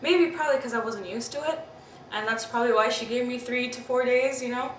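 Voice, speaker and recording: Woman, twenties, from Pakistan, candid and reflective, lots of fillers, faraway mic, steady noise behind. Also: on the high side, brisk. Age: 20s. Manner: candid, reflective, many fillers like "you know" and "I mean". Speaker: interviewee. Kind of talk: personal interview recollection. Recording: roomy, distant mic, background noise.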